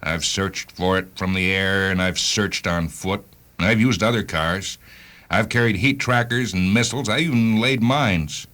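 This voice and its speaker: A man acting a driven, vengeful hunter in a radio drama, with an intense, emphatic and sometimes halting delivery. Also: sits low, fairly bright tone. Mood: bitter, brooding. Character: driven, vengeful male hunter of rogue cars.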